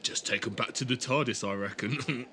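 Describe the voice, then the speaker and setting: A man's voice: mid-range voice, moderate pace, bright, forceful tone, a little hiss or hum. A man playing an eager, kindly young companion, chatty and a little awkward. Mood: encouraging. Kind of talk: fan audio drama acting.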